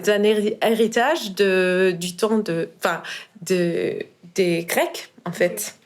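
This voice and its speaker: A woman in her thirties from Iran with a Persian accent, a podcast guest speaking French as a second language, often pausing and searching for words. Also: mid-range voice, fairly even intonation. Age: 30s. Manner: hesitant, searching for words, many fillers. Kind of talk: casual interview.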